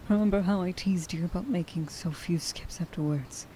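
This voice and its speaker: A woman playing a tender, emotional young huntress in an ASMR roleplay, speaking softly and breathily and often dropping toward a whisper. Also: on the low side, normal speed, slight background noise, lightly acted. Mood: amazed, joyful. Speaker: voice actor.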